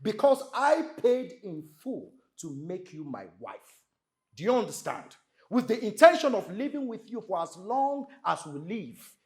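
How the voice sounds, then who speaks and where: A man's voice: sits high, big pitch swings, unhurried, full character voice. A Nigerian man playing an angry, domineering husband in a film drama, with a commanding, emphatic delivery. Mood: stern, contemptuous. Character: domineering, angry husband ordering his mother-in-law out.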